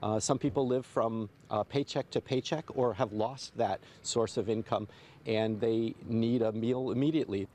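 A man's voice: medium pitch, fairly even intonation, moderate pace, a little mellow, a little hiss or hum. A middle-aged man volunteering at a food drive, answering a reporter's questions outdoors in a friendly, plain-spoken, earnest way. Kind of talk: live TV interview answers. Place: outdoors at a church parking lot. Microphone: reporter's handheld mic.

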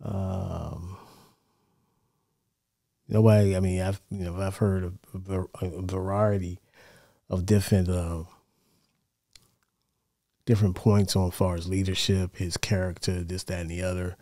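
A middle-aged American man giving casual sports commentary in a measured, conversational way, close on a studio mic. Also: deep voice, little pitch movement, vocal fry, mellow, no echo. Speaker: sports show host. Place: small home studio, indoors. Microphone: close broadcast mic on boom arm.

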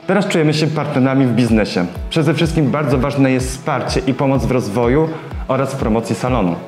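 A man's voice: mid-range voice, a little fast. A young man, a hairdresser who co-owns the salon, speaking in an earnest way in a sponsored interview. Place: bright hair salon, indoors. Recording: roomy salon with soft background music.

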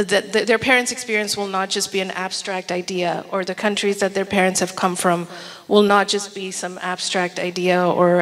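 A woman from Bangladesh, an author on a festival panel, answering earnestly into a handheld mic and repeating words as she thinks. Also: mid-range voice. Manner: earnest, repeats words while searching for them. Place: large event hall with an audience. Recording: long reverb of a hall.

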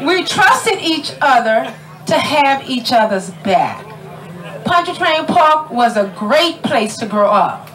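A woman's voice: high voice, sing-song, slow, a bit bright, poor audio. Folksy New woman emceeing outdoors. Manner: chatty and folksy, laughing often, calling out to the crowd. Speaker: ceremony emcee. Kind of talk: speaking at an outdoor community ceremony. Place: outdoor tent at a park gathering.

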